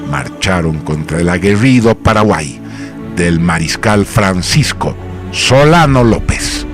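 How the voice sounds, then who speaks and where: Deep guy narrating a radio drama, super solemn n grand, music under him. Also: lively intonation. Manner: solemn, grandiose, measured phrasing.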